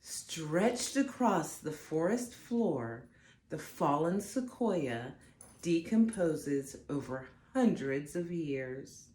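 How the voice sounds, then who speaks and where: A woman reads a children's story aloud in a low, soft-edged voice. Her delivery is dramatic and deliberate, made for young listeners. The room adds a noticeable echo around her. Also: lively intonation, a little hiss or hum. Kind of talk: expressive read-aloud of a picture book.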